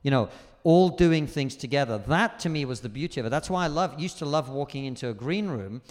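A man, a comedian guest in a live stage chat, talks in a forthright, emphatic way and swears freely. His voice sits at an ordinary pitch. The hall leaves a long reverb tail on every word. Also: expressive, fairly quick, a little mellow. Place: live venue stage before an audience.

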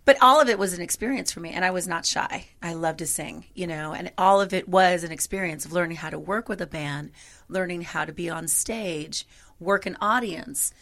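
Low gravelly woman, chatty. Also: fairly quick, a bit bright. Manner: chatty, frequent fillers like "you know". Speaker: singer being interviewed. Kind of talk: conversational interview.

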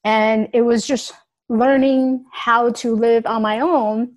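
Woman, raised in Taiwan, earnest reflective storytelling, higher voice, small grammar slips. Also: steady tone, slow. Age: adult. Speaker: speaker sharing her life story. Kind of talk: personal storytelling talk.

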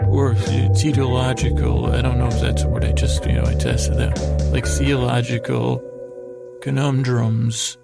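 A man with a gravelly voice hosting a sleep podcast, giving a soothing, rambling bedtime monologue that halts, repeats and wanders off mid-thought. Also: medium pitch, very animated, loud music behind the voice. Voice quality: gravelly, creaky. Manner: halting, meandering, repeats and trails off mid-thought.

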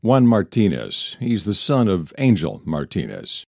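A man's voice: deep voice, wildly swooping pitch, measured pace, creaky, mellow, no echo, clean studio sound, exaggerated voice. A man playing a proud, curt older FBI special agent in a crime audio drama. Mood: matter-of-fact.

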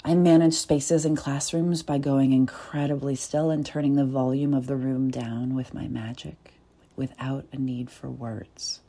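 Woman, low and mellow voice, soft and deliberate, reflective coach delivering a spoken essay. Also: little pitch movement. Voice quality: deep and soft. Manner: soft, deliberate, with pauses and whispered asides. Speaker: body-work coach.

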